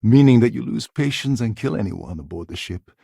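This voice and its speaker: An actor voicing a cynical, scheming space pirate pilot in a video game, with a gravelly, husky voice and a wry delivery. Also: male voice, fairly low pitch, animated, fairly quick, mellow, dry recording, clean studio sound, heavily acted. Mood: serious, briefing. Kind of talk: video game character dialogue.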